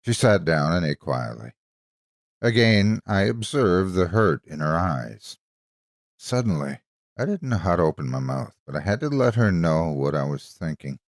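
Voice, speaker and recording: A man narrating a scripted dramatic story in a measured, expressive storytelling manner. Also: sits low, dry recording, studio quality.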